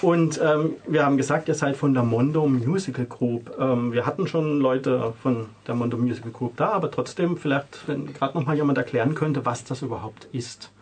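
A man's voice: medium pitch, moderate pace, hardly any pauses, a little mellow, slight background noise. A man hosting a radio interview, talking with studio guests. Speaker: radio host.

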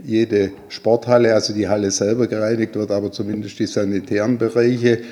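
Male committee member, lowish warm voice, deliberate, matter-of-fact, correcting himself as he asks. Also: little pitch movement. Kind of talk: spontaneous question in a meeting.

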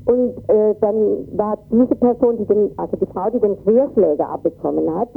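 A distressed woman giving an agitated, halting eyewitness account in an interview, often repeating words and tagging her sentences with "ne". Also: medium pitch, creaky, husky, narrow, tinny audio, faint music behind. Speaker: interviewee, volunteer refugee worker.

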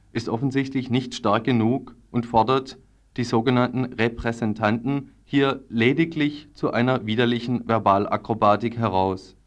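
A man reading a scripted political report as a radio news reader. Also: mid-range voice.

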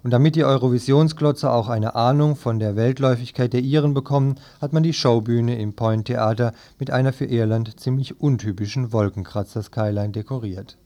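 A man's voice: medium pitch, a little fast, few pauses, dark tone. A man reading scripted newspaper commentary for a radio press review.

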